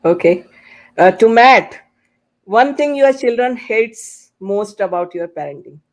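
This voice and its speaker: A podcast host moderating a panel discussion, speaking in a hesitant, halting way. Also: medium pitch, expressive.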